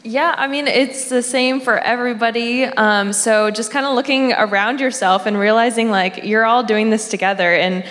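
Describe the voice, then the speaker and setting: A woman's voice: a little high, moderate pace, few pauses. A woman, a professional runner on a panel, casually giving race advice with lots of "like". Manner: relaxed, uses like often. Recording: reverberant hall.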